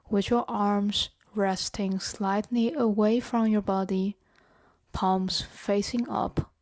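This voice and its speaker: A woman leading a guided body scan meditation in a gentle, measured, instructional way. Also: mid-range voice. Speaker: meditation guide and therapeutic coach.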